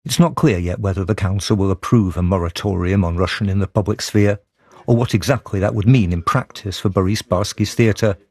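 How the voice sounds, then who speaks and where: Male correspondent, low voice, reading a scripted radio report. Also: brisk, a little mellow, husky, dry recording. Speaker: foreign correspondent. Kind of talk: scripted news report narration.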